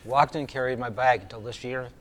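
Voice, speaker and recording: A man's voice: mid-range voice, fairly even intonation, fairly quick, crowd noise. A man in his seventies, a guest in a casual interview, giving short agreeable answers. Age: 70s. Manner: brief, agreeable replies.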